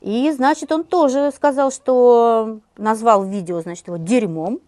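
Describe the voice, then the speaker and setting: A woman co-hosting a satirical news chat, telling stories in a wry, brisk way. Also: a little high, warm tone, dry recording. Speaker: co-host, journalist.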